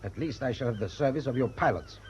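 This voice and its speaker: An actor playing a stiff, defensive German tanker captain in a radio drama. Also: male voice, mid-range voice, animated, fast talker, natural voice. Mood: defensive, formal. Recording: dull sound, faint background noise.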